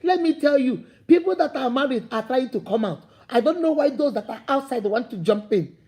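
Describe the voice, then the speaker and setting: A woman's voice: high voice, expressive, a little fast, mellow, breathy edge. A woman with a Nigerian accent giving an impassioned motivational talk, repeating her points and building to emphatic calls. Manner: preachy, repetitive, rising to emphatic calls. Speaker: motivational speaker.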